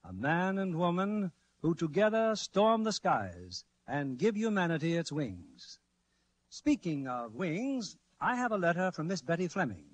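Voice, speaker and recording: A man's voice: high-pitched, dry recording. A man hosting a vintage radio show, reading scripted narration in a formal showman's manner. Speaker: radio host and producer. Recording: vintage 1940s radio broadcast.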